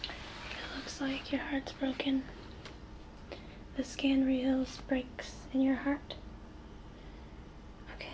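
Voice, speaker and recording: A young woman in a whispery, breathy voice, gently and haltingly guiding the listener through an ASMR role play. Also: sits high, completely flat delivery, poor audio. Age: young adult.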